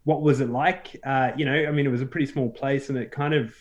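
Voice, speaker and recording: Male guest, raised in South Africa, easygoing and halting, mid-range voice. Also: medium pitch, highs cut off. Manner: relaxed and hesitant, many fillers and restarts. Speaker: podcast guest. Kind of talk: casual interview chat.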